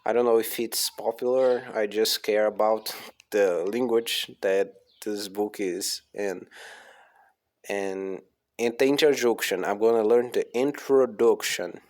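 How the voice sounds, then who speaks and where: A man's voice: fairly low pitch, steady tone, unhurried, darkish tone. A man with a Portuguese accent, an English learner reading aloud and commenting casually, hesitant and repeating words to practice them.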